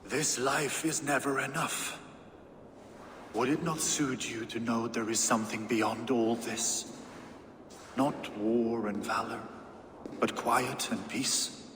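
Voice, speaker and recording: A male actor playing a world-weary, brooding Viking warlord in a video game, with a grave, deliberate delivery. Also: mid-range voice, fairly even intonation. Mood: weary, searching. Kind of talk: dramatic video game acting. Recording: echoey reverberant space, loud background noise.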